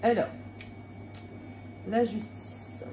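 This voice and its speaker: A woman's voice: mid-range voice, steady tone, extremely slow, noisy background. A woman with a slight cold giving a casual tarot reading to her viewers, talking in a hesitant way with many fillers and restarts. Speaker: tarot card reader.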